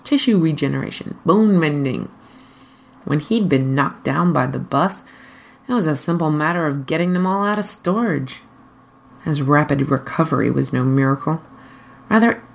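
A woman with a husky voice reading a story aloud as a narrator. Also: sits low. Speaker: fan fiction reader.

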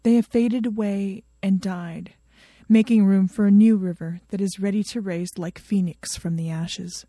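Mellow woman narrating a story, very dry. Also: mid-range voice, steady tone, a little slow, no room sound. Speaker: fan fiction reader.

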